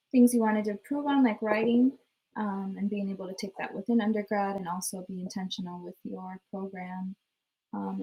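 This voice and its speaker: A woman's voice: mid-range voice, dark tone, low-quality recording. A woman moderating a panel discussion who speaks encouragingly and uses many fillers.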